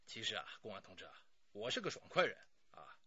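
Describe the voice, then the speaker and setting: A man's voice: on the low side, wildly swooping pitch, fast talker, vocal fry, bright, forceful tone, breathy edge, dry recording, lo-fi sound, full character voice. An actor playing a talkative, self-justifying middle-aged man under police questioning in a radio drama, pleading and sighing as he explains. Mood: frank, defensive.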